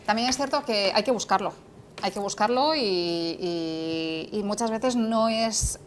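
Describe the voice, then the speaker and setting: A young woman from Galicia talks with quick, lively energy, stumbling over her restarts as she goes. She sits on a TV debate panel, speaking into a lapel mic, with a faint hiss under her voice. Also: medium pitch. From Vigo, Galicia. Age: young adult. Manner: lively, chatty, many restarts. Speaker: startup co-founder and CEO. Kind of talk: casual debate talk. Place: TV studio.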